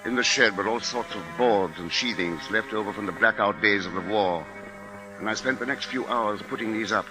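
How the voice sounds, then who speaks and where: An actor playing an anxious writer who narrates with measured, dramatic deliberation in a 1950s radio drama. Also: male voice, fairly low pitch, close to an everyday voice, low-quality recording. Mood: grave. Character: anxious writer narrating a seaside bird menace. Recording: old 1950s radio recording with music.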